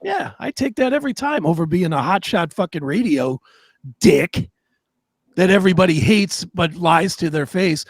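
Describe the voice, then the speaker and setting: A man's voice: high-pitched, animated, normal speed, dry recording, clean studio sound. A man co-hosting a talk show in a casual, profane way, blunt and opinionated. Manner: blunt, opinionated, swears freely.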